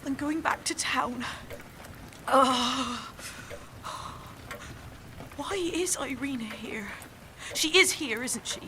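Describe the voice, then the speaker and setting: A young woman playing a fragile, distraught, erratic young actress in a naturalistic audio drama, speaking in a halting, breathy, agitated way. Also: high voice, animated, background noise. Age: young adult.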